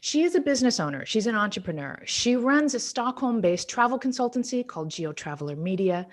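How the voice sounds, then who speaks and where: A woman's voice: fairly high pitch, sing-song, fairly quick. Enthusiastic woman hosting an interview. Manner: enthusiastic, reads guest introduction. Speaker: interviewer host. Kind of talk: video interview.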